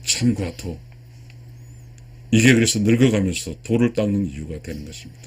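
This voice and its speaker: A man in his sixties from South Korea giving a spiritual lecture to viewers, earnest and emphatic, with a husky voice and repeated key phrases. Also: fairly low pitch, moderate pace. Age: 60s. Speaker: lecturer, video channel host.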